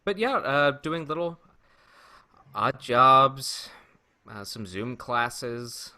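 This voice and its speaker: A man's voice: mid-range voice, expressive, extremely slow, sharp tone. A man co-hosting a casual podcast chat with a dry, joking manner. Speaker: podcast co-host.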